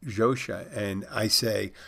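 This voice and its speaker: A man's voice: on the low side, steady tone, takes it slowly, vocal fry, sharp tone. A man casually recounting a story, rambling with restarts and side comments. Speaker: storyteller recounting trolling a scammer. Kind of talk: casual spoken anecdote.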